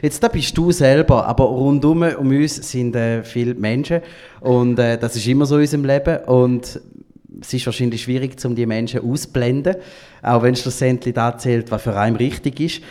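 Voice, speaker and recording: A man's voice: mid-range voice, steady tone, normal speed, mellow. A man with a Swiss German accent hosting a talk show, musing in loose conversational phrasing as he questions his guest. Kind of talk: casual interview talk.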